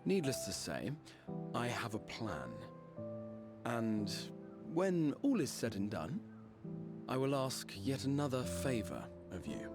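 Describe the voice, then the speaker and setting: Casting: weary noble game hero, mid-pitched, very expressive range, eloquent, music beneath. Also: male voice. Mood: resolved. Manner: formal, eloquent, measured storytelling. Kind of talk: dramatic video game voice acting.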